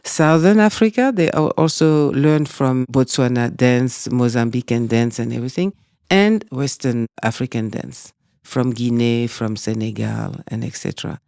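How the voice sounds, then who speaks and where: A man with a French accent speaking as a guest in an interview, talking in a measured, reflective way. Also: medium pitch, vocal fry, a little mellow, smooth, no room sound, studio quality. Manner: measured, reflective, with frequent "you know". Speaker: guest, dance school director. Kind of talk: conversational interview.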